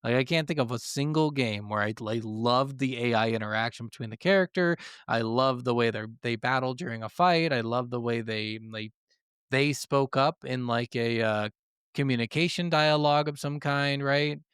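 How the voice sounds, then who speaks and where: A man with a clean, smooth voice in a very dry recording, chatting casually as a podcast co-host. He is blunt, grumbles freely and swears often, at an average pitch. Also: normal speed, a bit bright, dry recording. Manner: blunt, heavy swearing, complaining. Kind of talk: casual gaming discussion.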